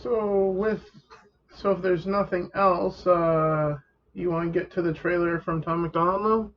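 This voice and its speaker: A man with a high-pitched voice hosts a casual reaction podcast in a chatty style. The recording is a little dull, with faint noise in the background. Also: steady tone, unhurried. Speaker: podcast host. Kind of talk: casual reaction show chat.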